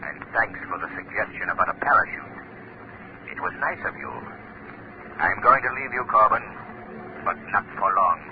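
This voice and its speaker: An actor playing a commanding, mocking invisible crime fighter in a 1930s radio drama, speaking with deliberate menace. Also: male voice, fairly low pitch, lively intonation, natural voice. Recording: noisy, narrow-band 1930s radio recording.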